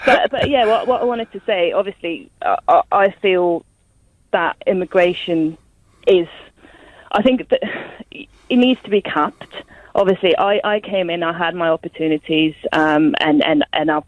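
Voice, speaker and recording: A woman in her twenties from Estonia, calling a radio phone-in and giving her view haltingly over a phone line. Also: lowish voice, no room sound. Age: 20s. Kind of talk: conversational live opinion. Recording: tinny narrow phone line.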